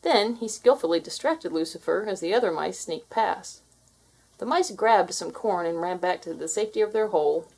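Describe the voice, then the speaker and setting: A woman's voice: lowish voice, normal speed, a little mellow, slightly rough. A woman narrating a children's fairy tale as a scripted read-aloud.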